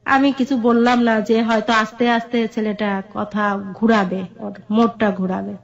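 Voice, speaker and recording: Middle aged bangladeshi lady telling her life story, pretty high voice, kinda dull lofi audio. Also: flat delivery, moderate pace, vocal fry. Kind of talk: personal storytelling in an interview.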